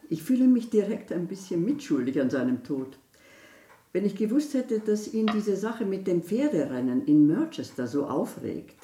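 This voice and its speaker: A woman's voice: sits low, dark tone, reverberant, distant mic. An actress playing a worldly older woman, a novelist and affable family friend, chatting in a radio drama. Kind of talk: German radio drama acting.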